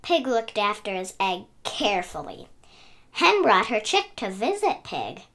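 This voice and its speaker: A woman's voice: medium pitch, sing-song, measured pace, a bit bright. A woman hosting a children's read-aloud, narrating expressively in a sing-song, emphatic way. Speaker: story reader and channel host.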